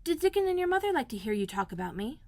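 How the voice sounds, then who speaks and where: A woman's voice: sits high, sing-song, rapid-fire, gravelly, darkish tone, no room sound, pitched above the performer's own voice, full character voice. A woman reading aloud and voicing a curious, lonely young girl who asks eager questions.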